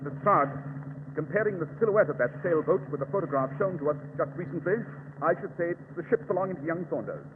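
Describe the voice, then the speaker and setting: An actor playing a brilliant, haughty English gentleman detective in old-time radio drama, precise, pompous and commanding. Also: on the high side, animated, a little fast, natural voice. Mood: commanding, urgent. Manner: precise, pompous, theatrical delivery. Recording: tinny, noisy, reverberant old radio recording.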